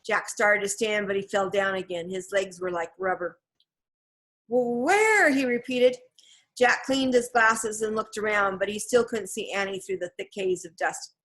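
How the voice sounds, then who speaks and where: A woman narrates a children's novel in a medium-pitched voice. She tells the story in a measured, expressive way, as a scripted read-aloud. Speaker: storybook reader.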